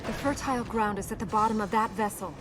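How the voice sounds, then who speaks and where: A woman's voice: mid-range voice, fast talker, lightly acted. Woman playing an earnest young princess, noisy backdrop. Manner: serious, explanatory, dry retorts. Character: earnest young princess, guarded, dryly witty. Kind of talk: video game voice acting.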